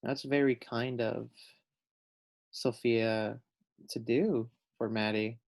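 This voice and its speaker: A man's voice: mid-range voice, fairly even intonation, takes it slowly, gravelly, darkish tone. A man reading a children's storybook aloud, adding simple explanatory asides for young listeners. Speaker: storybook reader.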